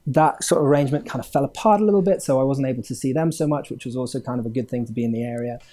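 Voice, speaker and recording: A man's voice: medium pitch, rapid-fire, mellow. A man, born in Hong Kong, chatting as a podcast guest with many fillers and chuckles. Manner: rambling, many fillers, chuckles mid-sentence. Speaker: game studio owner, guest. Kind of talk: casual interview chat.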